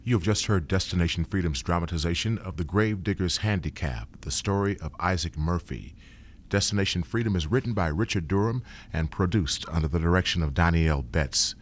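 A very deep-voiced male announcer reads a radio show's closing credits. His delivery is steady and formal. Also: a little fast, slight background noise.

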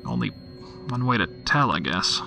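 An actor playing a weary, skeptical homicide detective dictating case notes in a dry, sardonic, matter-of-fact way for an audio drama. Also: male voice, medium pitch, big pitch swings, normal speed, vocal fry, music in the background. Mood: puzzled, dry. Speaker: detective narrator.

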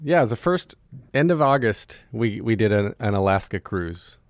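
Lively man hosting a casual chat. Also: lowish voice, normal speed, no echo, studio quality. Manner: playful, teasing. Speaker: interview host.

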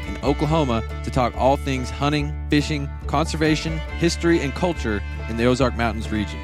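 Podcast promo read by a man, steady mid voice, clear guitar music under it. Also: medium pitch. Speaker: podcast host reading an ad.

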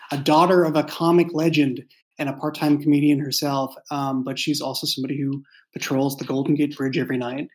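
A man's voice: a little high, a little mellow. A man talking thoughtfully as an interview guest, often searching for his words. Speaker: podcast guest, writer and creator. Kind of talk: casual, thoughtful interview talk.

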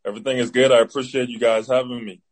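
A man's voice: medium pitch, level tone, racing. A man in his twenties from the Bahamas with a Bahamian accent, an athlete giving casual interview answers as a guest. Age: 20s. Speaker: interview guest, football player.

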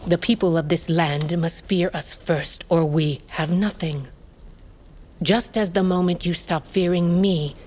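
Low-voiced, commanding warrior queen. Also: steady tone, darkish tone. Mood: menacing, proud. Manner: imperious, measured. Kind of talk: fantasy audio drama.

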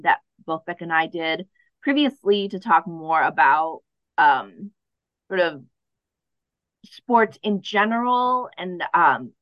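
A woman co-hosts a community radio show and talks slowly, in a lowish voice. She often hesitates, dropping in ums and restarting her sentences. The recording is dry, with a slightly dull, muffled sound. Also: fairly bright tone, dry recording. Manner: halting, many ums and restarts. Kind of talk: casual radio chat.

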